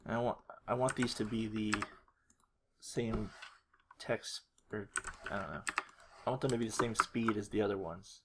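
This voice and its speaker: Someone mumbling thru a casual editing tutorial, gravelly fry in the voice, noisy mic w clicking and typing. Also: medium pitch, steady tone, moderate pace. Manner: muttering to self while working. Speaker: video creator giving a tutorial. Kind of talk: casual screen-recorded editing walkthrough. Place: indoors at a computer. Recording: clearly noisy, keyboard and mouse clicks.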